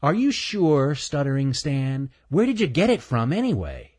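A man's voice: fairly high pitch, huge pitch swings, normal speed, a little mellow, husky, pitched above the performer's own voice, heavily acted. A man voicing a cocky male chipmunk who teases and wheedles in a children's story. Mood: pushy, needling. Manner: teasing, pushy.

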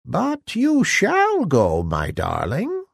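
A man voicing a feeble, croaking old beggar woman who is secretly a kindly, gently commanding fairy godmother in a storybook. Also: sits high, wildly swooping pitch, drawn-out words, no room sound, studio quality, pitched above the performer's own voice, exaggerated voice.

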